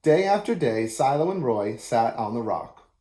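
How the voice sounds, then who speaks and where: A man reading a children's picture book aloud as an expressive storyteller, in an echoey room. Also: medium pitch, normal speed.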